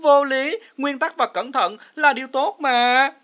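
Woman playing a high, pushy TV reporter. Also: measured pace, fairly bright tone, faint music behind. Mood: incredulous. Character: pushy, incredulous female TV news reporter. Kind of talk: comic cartoon dubbing.

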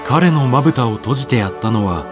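A man's voice: medium pitch, lively intonation, fairly quick, over music. A man narrating a radio drama from a script in a solemn, declamatory, dramatic manner. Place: studio voice-over with music behind.

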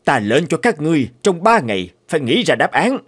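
A man's voice: on the high side, sing-song, fairly quick, husky, no room sound, clean studio sound. An actor playing an imperious, bullying cartoon king who scolds and threatens haughtily. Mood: threatening. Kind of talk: dubbed cartoon fairy tale acting. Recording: music behind the voice.

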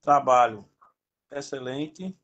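A man on a video call, talking in a calm, reflective, slightly hesitant way. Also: mid-range voice, slow pace, muffled recording. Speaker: group participant. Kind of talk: casual chat on a video call.

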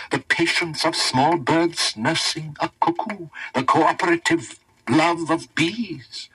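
A man's voice: on the high side, pushed and bright, husky, full character voice, rough recording. A man voicing a learned, opinionated old wizard tutor, lecturing pedantically in a storybook reading. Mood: challenging.

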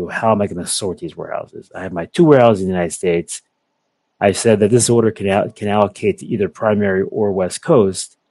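A young man giving a methodical, step-by-step software walkthrough over a video call. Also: deep voice, no echo. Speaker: software product presenter. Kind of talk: screen-share software walkthrough.

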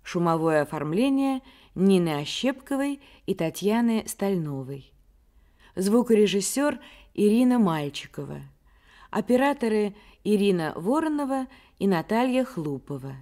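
Slow, formal female radio announcer. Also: medium pitch, sing-song, mellow. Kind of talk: formal scripted credits announcement.

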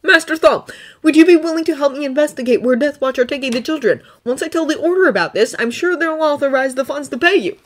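A woman's voice: high voice, expressive, fast, pitched above the performer's own voice. A woman voicing an earnest male Jedi. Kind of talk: fan fiction audiobook reading.

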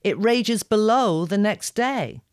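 Woman voicing a high-pitched, imperious young gargoyle girl. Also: expressive, takes it slowly, clear, resonant tone, dry recording, clean studio sound. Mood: scornful, imperious. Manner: imperious, scoffing. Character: proud, bossy young gargoyle girl, injured but defiant.

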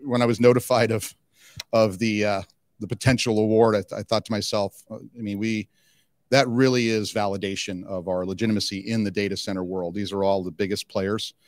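A man's voice: lowish voice, fairly even intonation, brisk, no room sound. A middle-aged man speaking conversationally as an executive being interviewed, close on a handheld microphone. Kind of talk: conversational trade-show interview. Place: indoor interview booth at a conference.

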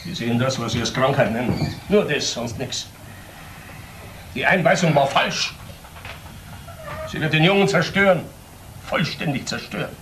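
An actor playing a bitter, defiant middle-aged father in a radio crime drama, speaking in emphatic broken phrases with pauses. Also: mid-range voice, background noise, natural voice. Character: bitter, defiant father of grown sons under suspicion.

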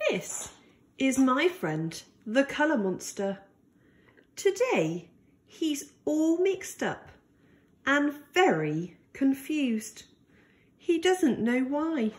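Woman, children's storyteller, high lilting voice, highly varied intonation, gentle, deliberate picture-book read-aloud. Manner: deliberate, gentle, animated delivery for young children.

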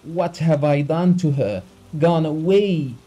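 A man voicing a distraught, betrayed gentleman in a dramatized audiobook, crying out in anguished, repeated exclamations. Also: on the high side, takes it slowly, pitched above the performer's own voice, low-quality recording. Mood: raging despair.

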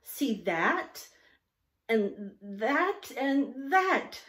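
Woman playing a high, spirited storybook tomboy girl. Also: sing-song, very slow, fairly bright tone, echoey room, full character voice. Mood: breezy, proud. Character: spirited tomboy girl, breezy and self-assured.

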